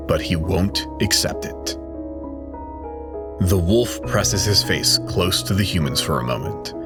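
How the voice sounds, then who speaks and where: A man giving solemn, measured dramatic narration of a scripted story. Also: low-pitched. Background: loud music.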